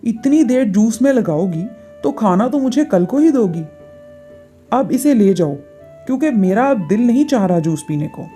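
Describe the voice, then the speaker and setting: Woman playing a bossy, mellow-voiced demanding older aunt. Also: fairly high pitch, animated, moderate pace, soft background music, lightly acted. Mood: scolding, demanding. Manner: commanding, complaining.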